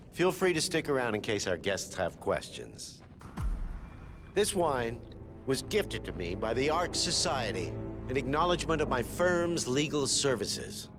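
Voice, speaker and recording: An actor playing a suave, grandiose leader of a secret society, addressing guests in a dramatic, measured speech in a video game. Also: male voice, mid-range voice. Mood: courteous, smug. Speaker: villainous host addressing guests. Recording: music underneath.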